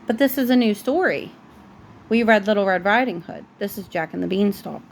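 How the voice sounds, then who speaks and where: Mom reading a bedtime story out loud, expressive, medium pitch, faint noise in the background. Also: female voice, fairly quick. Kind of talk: bedtime storybook read-aloud.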